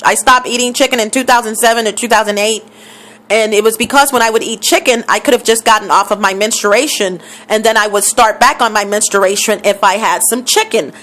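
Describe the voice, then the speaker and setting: A woman's voice: a little high, fairly quick, fairly bright tone. A woman vlogger talking casually to camera, emphatic and repeating figures for stress, with a scratchy throat.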